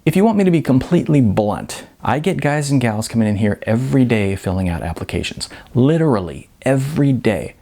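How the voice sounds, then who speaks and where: A man's voice: medium pitch, lively intonation, brisk, creaky, few pauses, mellow. A man voicing a blunt, world-weary restaurant manager in an audiobook, explaining things frankly and emphatically. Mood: frank, advising.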